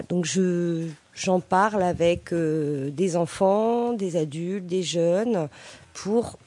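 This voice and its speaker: A woman's voice: medium pitch, a little mellow, no echo. A woman filmmaker, the studio guest, explaining her work hesitantly with many fillers. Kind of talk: radio interview answers.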